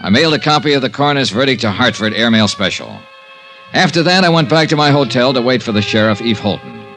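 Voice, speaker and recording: An American actor playing a tough, wry insurance investigator in a 1950s radio drama, with a clipped, sardonic, insistent manner. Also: male voice, medium pitch, animated, fast talker, fairly bright tone, soft background music. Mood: matter-of-fact. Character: shrewd, wry, tough male insurance investigator narrating his case.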